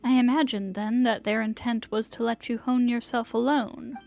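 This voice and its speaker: Video game immortal inventor: high voice, formal, proud, motherly, lofty old-fashioned diction. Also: fairly even intonation, normal speed, vocal fry, a little mellow, soft background music. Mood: thoughtful, advising.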